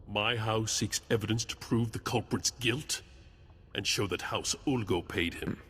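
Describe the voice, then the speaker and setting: A man's voice: fairly low pitch, unhurried, bright, forceful tone, slightly rough, full character voice. A man playing a proud, stiffly formal captain of a royal guard in a video game. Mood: grave. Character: proud, curt male captain of a queen's guard. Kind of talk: video game cutscene acting. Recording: long hall reverb.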